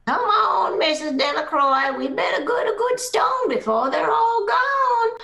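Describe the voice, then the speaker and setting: A high, creaky voice in an echoing room from a woman acting an older village gossip. She is folksy and chummy, drawing words out slowly in radio-play style. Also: sing-song, bright, forceful tone, heavily acted. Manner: folksy, chatty.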